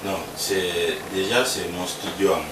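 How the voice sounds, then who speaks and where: A man from Congo, a musician, answering interview questions in a relaxed, halting way that repeats words. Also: low voice. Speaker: musician guest. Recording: roomy sound from a distance with background noise.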